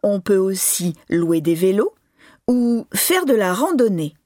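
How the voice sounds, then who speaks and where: A woman reading a scripted informational announcement with clear articulation. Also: mid-range voice, very animated, measured pace, warm tone, no room sound, studio quality. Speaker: announcement reader.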